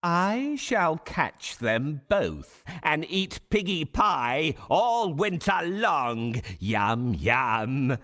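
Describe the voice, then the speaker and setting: A man's voice: a little high, very animated, fairly bright tone, heavily acted. A man voicing a greedy, menacing big bad wolf in a children's storybook game, growling and drawing out his words. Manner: growling, slow and drawn-out.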